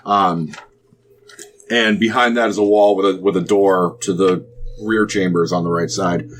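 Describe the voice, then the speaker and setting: A man narrating a tabletop role-playing game as game master, explaining scenes in a halting, explanatory way. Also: low-pitched, fairly even intonation, a little hiss or hum.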